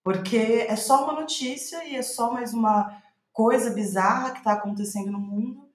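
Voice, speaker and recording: A Brazilian woman, a playwright in a reflective podcast interview, thinking aloud in a halting, thoughtful way. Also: on the high side. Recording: roomy, echoing sound.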